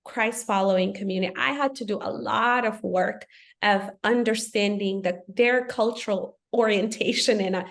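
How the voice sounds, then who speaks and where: Woman from Ethiopia, podcast guest, earnest and reflective, mid-pitched voice. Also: gravelly. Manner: thoughtful, earnest, with frequent fillers. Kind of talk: podcast conversation.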